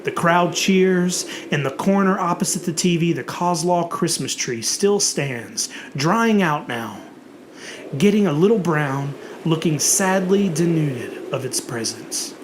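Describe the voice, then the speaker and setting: A narrator reading a horror story in a theatrical, dramatic way, with emphatic, drawn-out words. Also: mid-range voice, lively intonation, noisy background.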